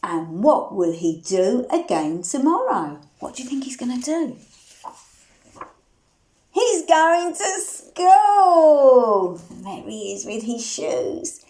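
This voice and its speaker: Animated high-voiced woman reading to small children. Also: slow pace. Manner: animated, sing-song, asking the listeners questions. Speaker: storyteller reading a children's picture book. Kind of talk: interactive read-aloud for young children, with sung refrains.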